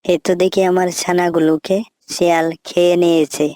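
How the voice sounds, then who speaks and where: A woman's voice: fairly low pitch, level tone, normal speed, warm tone, no echo, studio quality, close to an everyday voice. A woman voicing a trusting, simple-minded crocodile parent in a children's cartoon fable, earnest and plainspoken. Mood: puzzled, alarmed.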